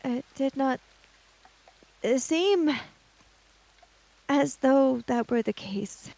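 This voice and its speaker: Slow, soft-edged woman with a creaky voice. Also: high voice, lively intonation, no room sound, low-quality recording. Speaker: tabletop role-playing player. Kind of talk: improvised in-game talk and narration.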